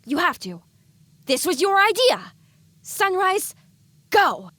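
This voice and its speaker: A woman's voice: extremely high pitch, very animated, takes it slowly, bright, forceful tone, no room sound, pitched above the performer's own voice, heavily acted. A woman voicing the inner thoughts of an anxious, earnest young female pony in an audio drama. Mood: urging herself. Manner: earnest, worried self-talk.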